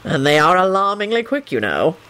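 A woman voicing a calm, dignified male law officer with a polite, measured, dry manner in a story reading. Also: on the low side, smooth. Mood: sardonic.

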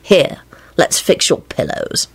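A woman voicing a mischievous young man with a slow, solemn, self-satisfied and insolent way of talking. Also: natural voice. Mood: repentant.

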